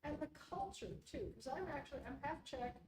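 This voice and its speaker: A woman's voice: sits high, expressive, a little fast, a crowd in the background. A woman from the United States chatting casually in a book club discussion. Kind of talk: casual chat. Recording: echoey room from far off, noisy.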